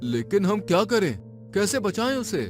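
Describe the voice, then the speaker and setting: Worried male space explorer played by a man, pitched up and anxious, story drama over music. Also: big pitch swings, moderate pace. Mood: panicked.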